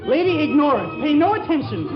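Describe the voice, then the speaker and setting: A man's voice: very high-pitched, big pitch swings, a little fast. An actor playing a nervous, quippy young man forever flustered in a 1940s radio comedy. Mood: rattled. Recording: dull 1940s radio recording, music behind.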